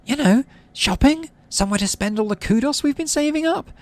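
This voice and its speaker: An eager, gossipy young girl, voiced by a man in a raised, high voice. She confides in a knowing, animated way, like a game character, with music behind. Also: brisk. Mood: eager, smug.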